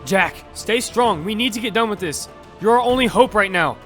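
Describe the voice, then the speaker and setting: Casting: male actor as desperate young combatant, very high strained cries, forceful and bright, audio drama. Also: lively intonation, moderate pace, faint music behind. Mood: urgent pleading. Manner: shouting, pleading. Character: young man Damian in desperate panic, protecting his teammates. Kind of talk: audio fiction character acting.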